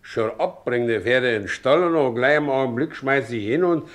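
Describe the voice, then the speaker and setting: An actor playing a good-natured, worried, ageing carter in a radio drama, talking plainly and grumbling. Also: male voice, mid-range voice, normal speed, natural voice. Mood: troubled grumbling. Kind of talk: 1960s German radio drama acting.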